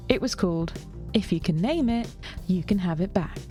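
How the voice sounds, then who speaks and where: A woman narrating scripted fiction in a measured way, with dry wit. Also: on the low side, animated, dark tone, faint music behind.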